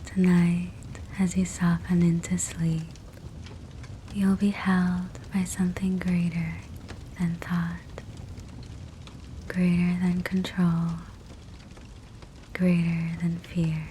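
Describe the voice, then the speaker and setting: A woman guiding a sleep meditation in a soft, breathy whisper, gentle and soothing, with measured phrasing and long pauses. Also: lowish voice. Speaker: sleep hypnosis meditation guide. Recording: steady fireplace crackle behind voice. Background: fireplace sounds.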